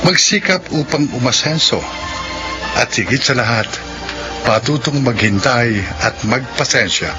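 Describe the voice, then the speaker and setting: A man's voice: medium pitch, unhurried. A man with a Filipino accent announcing for a radio drama in an emphatic, scripted, dramatic delivery. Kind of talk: scripted moral message and credits. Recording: dull lo-fi audio, loud music behind.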